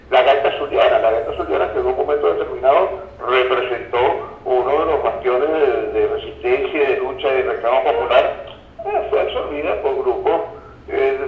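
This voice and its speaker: A man giving discursive commentary as a radio interview guest, didactic and halting, repeating phrases for emphasis. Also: medium pitch. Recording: dull, roomy radio call sound.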